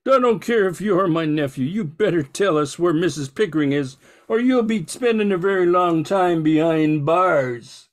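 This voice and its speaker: A man's voice: fairly high pitch, moderate pace, lightly acted. An older man playing a stern, righteous uncle in an amateur old-time radio drama.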